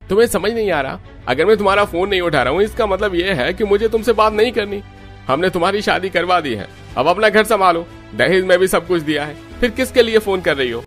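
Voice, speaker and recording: A cold, bossy rich brother in a cartoon drama, acted by a man. He scolds curtly in a sharply raised pitch, with faint music underneath. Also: animated, brisk, a bit bright. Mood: irritated, scornful. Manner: curt, scolding.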